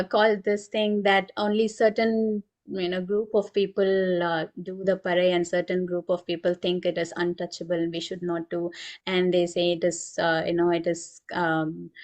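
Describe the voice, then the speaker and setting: A woman presenter answering at a community meeting, speaking earnestly with many fillers. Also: on the low side. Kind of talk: casual explanatory talk.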